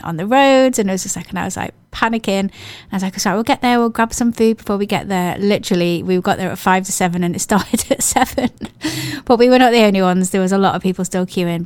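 A woman with an English accent presenting a radio show, her voice croaky and hoarse from a cold, chatting in a gushing, rambling way. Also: mid-range voice, no room sound. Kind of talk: casual radio chat.

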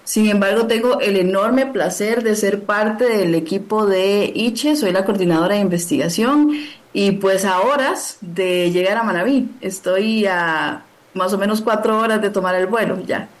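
A woman from Costa Rica speaks as an interview guest over a video call. She sounds friendly and fluent but fills her pauses often. Her voice sits at an ordinary middle pitch. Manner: friendly, fluent with many fillers. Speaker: guest, gastronomy researcher.

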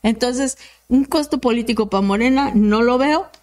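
A woman's voice: a little high, moderate pace, a little mellow. A woman in her fifties with a Mexican accent, hosting a radio news show and giving blunt, casual opinions. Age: 50s. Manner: outspoken, opinionated, slangy.

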